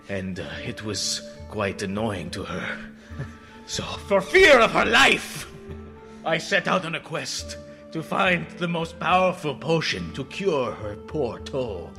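A proud, easily confused swordsman with a hammy mock Spanish accent, as a man puts him on. The voice sits a little high and swoops wildly. Music plays behind him. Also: huge pitch swings, takes it slowly, pushed and bright, heavily acted. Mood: rambling. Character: proud, bewildered male Spanish-speaking swordsman.

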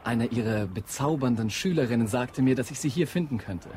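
An actor playing a polite young teacher in a radio drama who speaks in a courteous, formal way. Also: male voice, mid-range voice, expressive, brisk, darkish tone, slight background noise, lightly acted.

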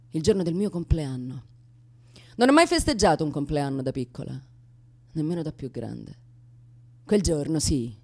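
A woman's voice: lowish voice, warm tone, dry recording, studio quality. An actress playing a wounded, intense, unstable woman in a theatrical radio monologue, with abrupt swings and rhetorical questions.

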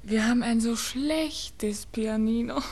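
An actress playing a shy, devoted young woman in a radio drama, speaking tenderly and often hushed, with a Viennese accent. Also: a little high, slow. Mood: modest, wistful. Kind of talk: German-language radio drama acting.

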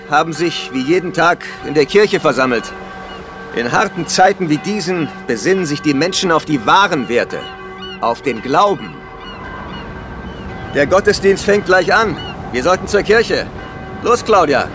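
An actor playing a controlling, devout island survivor who explains things in a measured, insinuating way in a horror radio drama. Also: male voice, on the high side, animated, over music, lightly acted. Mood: insistent. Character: controlling male island survivor, smooth-talking, devout guide.

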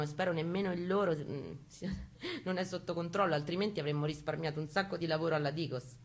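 A woman reading a first-person narrative aloud in an intense, theatrical, emphatic way. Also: on the low side. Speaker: stage reader and narrator. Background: music accompaniment, guitar.

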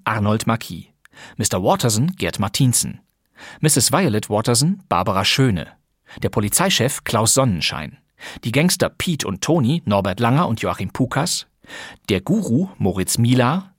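A man's voice: medium pitch, no echo. A man hosting a podcast, presenting scripted credits and introductions in a radio style on a close studio microphone. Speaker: podcast host.

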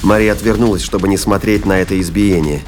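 A man's voice: sits low, quick pace, darkish tone, soft background music. A man narrating a science-fiction thriller audio play as scripted storytelling.

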